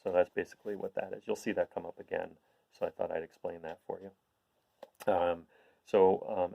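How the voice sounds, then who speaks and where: A man reading a storybook aloud as a host, in an expressive, explanatory manner. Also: sits low, creaky, highs cut off. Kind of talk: expressive storybook read-aloud with informal introduction.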